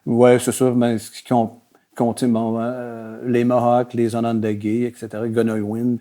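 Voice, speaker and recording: An older man from Quebec City with a Québécois accent, answering interview questions in a relaxed way, with many fillers and phrases that trail off. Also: medium pitch, measured pace. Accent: Québécois French. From Quebec City, Canada. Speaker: guest historian.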